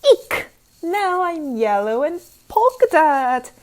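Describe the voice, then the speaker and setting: Woman playing an excitable high-pitched little storybook monster. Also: extremely slow, heavily acted. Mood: startled, excited. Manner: exclaiming, emphatic, repeats warnings. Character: excitable, mischievous cartoonish picture-book monster talking to children.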